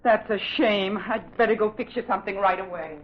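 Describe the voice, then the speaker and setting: A woman's voice: mid-range voice, fairly quick, natural voice. An actress playing a fussing, welcoming woman who looks after a priest in an old-time radio drama. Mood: solicitous. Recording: dull, noisy vintage radio recording.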